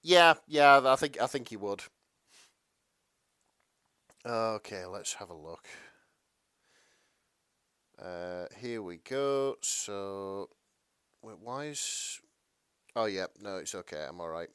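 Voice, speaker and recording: A man co-hosting a podcast in casual chat, halting and stammering, mildly apologetic in manner. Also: lowish voice, expressive, pushed and bright, clean tone, no echo. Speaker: podcast co-host.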